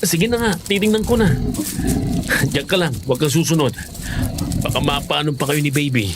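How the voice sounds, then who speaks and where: A man's voice: fairly high pitch, normal speed, very noisy, close to an everyday voice. A man playing a protective young husband in a dramatised horror story, scolding yet tender. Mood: drowsy, dismissive. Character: protective, exasperated young husband, stern but caring.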